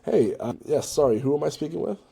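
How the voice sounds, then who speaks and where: A man's voice: fairly high pitch, lively intonation, quick pace, creaky, warm tone, husky. A man giving a casual, conversational walkthrough as a tutorial presenter, in an informal way. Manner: informal, conversational, checks in with "right?" and "you know". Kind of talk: casual screen-recorded software walkthrough.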